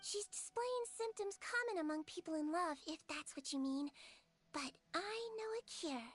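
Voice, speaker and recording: Very high-voiced earnest little nurse girl. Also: lively intonation, normal speed, faint music behind. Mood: thoughtful, earnest. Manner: polite, earnest, matter-of-fact. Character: small young female nurse, gentle, earnest, clinically curious. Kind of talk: anime-style video game voice acting.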